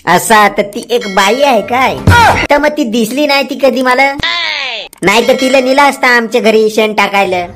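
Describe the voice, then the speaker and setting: A woman's voice: a little high, moderate pace, natural voice. A woman voicing a feisty, naive, bossy village auntie in a cartoon comedy, combative. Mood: baffled, argumentative. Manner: combative, confused, boastful. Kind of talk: Marathi comedy cartoon dialogue. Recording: music behind the voice. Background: music.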